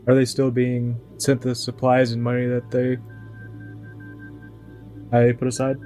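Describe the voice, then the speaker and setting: Man playing reserved soldier, tabletop game. Very monotone, dark mellow voice, terse and dutiful, music behind. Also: medium pitch, measured pace, close to an everyday voice. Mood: guarded, concerned. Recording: muffled band-limited audio under music.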